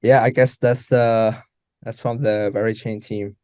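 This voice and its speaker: Low-voiced man hosting with many fillers. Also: level tone, a little mellow, smooth, dry recording. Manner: halting, filler-heavy speech. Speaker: hackathon host. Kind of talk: unscripted event hosting.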